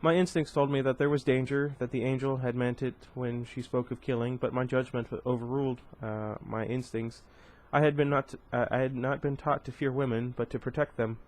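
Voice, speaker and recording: A man's voice: mid-range voice, steady tone, a little fast, hardly any pauses, a little mellow, rough recording. A man reading a novel aloud in a casual, unpolished way, stumbling over words and correcting himself. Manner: reads plainly, stumbles and corrects himself, breaks off for joking asides. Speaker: reader of a novel. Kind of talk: casual read-aloud with asides.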